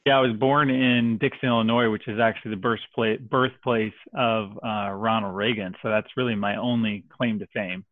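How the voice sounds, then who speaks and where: A man's voice: lowish voice, steady tone, moderate pace, few pauses, no room sound. Joking male guest on a tinny line. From Texas. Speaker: guest, real estate investor. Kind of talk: podcast interview.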